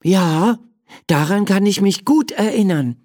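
Man playing a high-pitched, curious little kid. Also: expressive, unhurried, a little mellow, no room sound, studio quality. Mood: friendly. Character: young child, curious, confident, helpful owner of toys.

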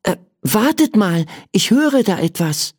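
Storybook child acted by a man: inquisitive, confident, pitched well up, very animated. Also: unhurried, breathy edge, no echo, clean studio sound, full character voice. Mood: curious. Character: young child, curious, confident, helpful owner of toys.

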